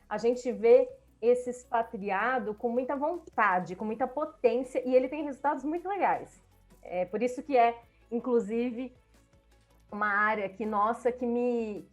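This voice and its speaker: Brief: Brazilian woman panelist, slightly high voice, enthusiastic, repeats herself, faint music underneath. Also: unhurried, lo-fi sound. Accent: Brazilian Portuguese. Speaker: language school speaker. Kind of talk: panel talk.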